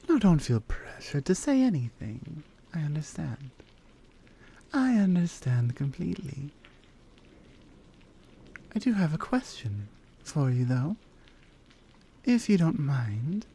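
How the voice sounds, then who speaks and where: A woman playing a strong, tender lioness in an intimate ASMR roleplay, speaking softly and earnestly with deliberate, halting phrasing. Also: sits low, big pitch swings, breathy edge, full character voice. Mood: gentle, curious.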